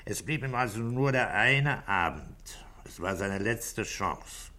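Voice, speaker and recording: An actor playing a shrewd middle-aged police inspector in a 1960s radio drama, speaking with deliberate, insistent questioning. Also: male voice, on the low side, animated, sharp tone, exaggerated voice. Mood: probing. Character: shrewd male police inspector, methodical, grave, probing. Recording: vintage 1960s radio studio recording.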